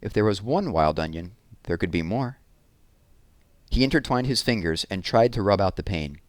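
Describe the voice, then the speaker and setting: A man's voice: sits low, expressive, moderate pace, no echo. A man reading a novel aloud in a scripted storytelling narration. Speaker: read-aloud reader.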